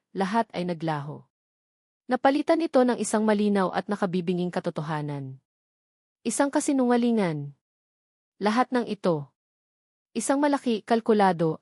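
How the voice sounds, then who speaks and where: A Filipino woman narrates a scripted romantic drama. Her voice sits at a middle pitch, and the recording is dry, with very little room sound. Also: darkish tone, dry recording. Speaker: story narrator.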